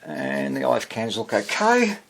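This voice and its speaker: A man hosting a casual, unscripted radio repair walkthrough, thinking aloud hesitantly and muttering questions to himself, in a husky, gravelly voice. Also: medium pitch, sing-song, measured pace. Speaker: radio repairer and video host.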